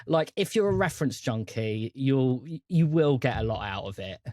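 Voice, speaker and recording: A man's voice: mid-range voice, big pitch swings, moderate pace, mellow. A man in casual podcast chat, talking in an enthusiastic, rambling way. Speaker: podcast co-host.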